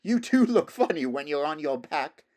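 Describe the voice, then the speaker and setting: A weary young runaway boy from a storybook, acted by a man, speaking up in a raised pitch. He is blunt and plain-spoken, quick to protest. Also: big pitch swings, darkish tone. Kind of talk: storybook character voice.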